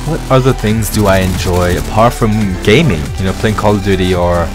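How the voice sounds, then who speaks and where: A young man around twenty speaks in a low-pitched voice with an accent that blends London English and American. He talks casually, in the style of a personal gaming commentary. Music plays clearly behind him. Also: brisk. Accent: London English mixed with American. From London, England. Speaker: gaming video creator.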